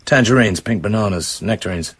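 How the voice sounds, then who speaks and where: An actor playing a suave British secret agent in a radio drama, with clipped, terse delivery. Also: male voice, fairly low pitch, fairly quick, slightly rough, dry recording. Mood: cool, businesslike. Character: suave British male secret agent narrating his own danger. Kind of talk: radio drama acting, terse first-person narration. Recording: music behind the voice.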